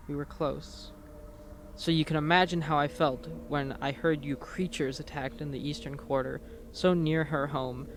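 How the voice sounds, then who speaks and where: A woman playing a hardened, contemptuous werewolf huntress in an audio roleplay, with a low, creaky voice and a deliberate, menacing delivery. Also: background noise. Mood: bitter anger. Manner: deliberate, menacing, articulate interrogation. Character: hardened, contemptuous werewolf huntress grieving her missing friend. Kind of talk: scripted audio roleplay, dramatic monologue.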